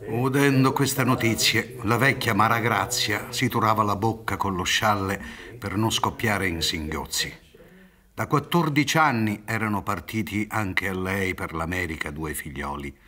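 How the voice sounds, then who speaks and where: A man's voice: lowish voice, measured pace, sharp tone. A middle-aged man reading scripted literary narration for a radio drama. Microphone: studio microphone, seated on a theatre stage.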